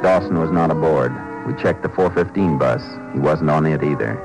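A terse, steady lawman with a deep, low voice, straight out of western radio. He is clipped and matter-of-fact on an old fifties broadcast recording. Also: normal speed, over music, low-quality recording. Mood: measured narration. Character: steady, terse Texas Ranger lawman, narrating his own cases. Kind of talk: 1950s western radio drama acting and narration.

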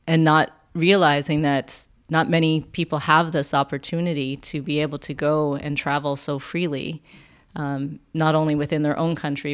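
A woman with a Canadian accent, speaking as a podcast guest in a measured, reflective way. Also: deep voice. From Vancouver, Canada. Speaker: podcast guest, sound healing center founder. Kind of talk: reflective interview conversation. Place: quiet indoor room at her wellness space.

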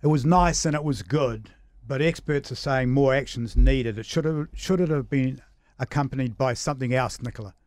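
A man's voice: medium pitch, normal speed, a little mellow, dry recording, studio quality. A man hosting a radio political panel, plainspoken and conversational. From Samoa. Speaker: radio host.

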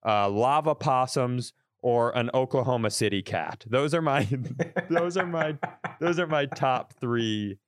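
A man's voice: medium pitch, unhurried, no echo, clean studio sound. A man co-hosting a comedy podcast, rambling through crude jokes with many restarts. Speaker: podcast co-host. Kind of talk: casual comedic chat.

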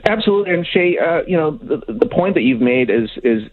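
A man giving expert commentary as an interview guest, explaining in a measured, halting way. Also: a little high, big pitch swings. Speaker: aviation consultant, interview guest. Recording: thin phone-like line.

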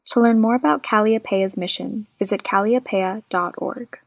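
A woman reading a scripted sponsor announcement in a calm, even way. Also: mid-range voice, normal speed, vocal fry.

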